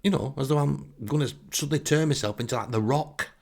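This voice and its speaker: A man's voice: mid-range voice, fast, gravelly, darkish tone. A man with a Northern English accent telling a humorous first-person anecdote in a wry, self-deprecating way. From Northern England. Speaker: comic storyteller.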